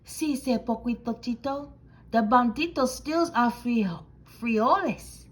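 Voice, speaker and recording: A woman voicing a small, matter-of-fact Mexican chihuahua sidekick in a children's storybook, speaking deliberately. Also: a little high, rough recording. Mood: grave.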